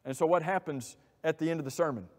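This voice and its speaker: A middle-aged man preaching a sermon from a church pulpit, animated and asking many rhetorical questions. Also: on the high side, quick pace. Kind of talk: expository sermon. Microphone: lapel mic. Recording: long hall reverb.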